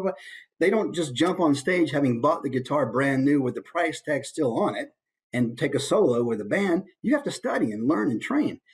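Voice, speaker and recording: Guy teaching voice acting, really animated pitch, casual and chatty, easygoing asides. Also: poor audio. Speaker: voice actor giving a presentation. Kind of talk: casual instructional presentation.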